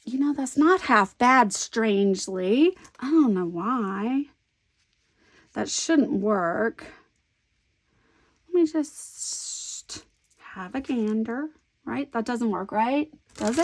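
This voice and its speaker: Southern lady crafting and chattering away, light drawl, a bit of vocal fry, laughs at her own jokes. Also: on the high side. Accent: light Southern American. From American South. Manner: rambling, self-amused, talks to herself. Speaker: scrapbooking vlogger. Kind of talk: chatty crafting commentary while working.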